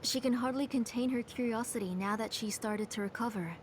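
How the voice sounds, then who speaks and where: A woman voicing a gentle, caring mother. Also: a little high, a little fast, noisy background, natural voice. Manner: warm, motherly. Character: gentle caring mother, former name engraver. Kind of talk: video game dialogue.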